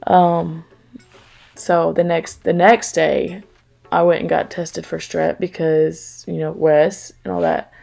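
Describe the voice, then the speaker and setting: A woman's voice: lowish voice, flat delivery, slow, vocal fry, warm tone, faint music behind. A young woman, chatting in a rambling voice-over for her cleaning vlog with lots of fillers. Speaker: cleaning vlogger. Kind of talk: casual voice-over chat.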